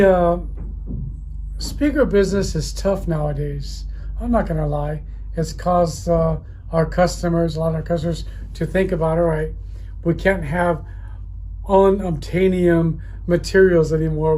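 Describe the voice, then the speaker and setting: A man's voice: a little high, dark tone. A man in his fifties talking casually off the cuff about his trade, blunt and informal, recorded indoors from a camera a short way off. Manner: blunt, informal, occasionally swearing. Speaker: speaker manufacturer presenting a video. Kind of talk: casual off-the-cuff industry talk. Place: indoor audio room with wood-block wall. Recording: clearly noisy room ambience.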